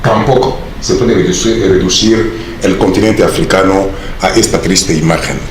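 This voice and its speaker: A man from the Democratic Republic of the Congo, a native Swahili speaker, gives a formal academic talk in a low voice. He lectures in a measured way, pausing often to find his words. The hall around him rings with heavy echo. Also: a little hiss or hum. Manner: measured lecturing with frequent hesitations. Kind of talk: formal conference speech. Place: large conference hall. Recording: very echoey hall.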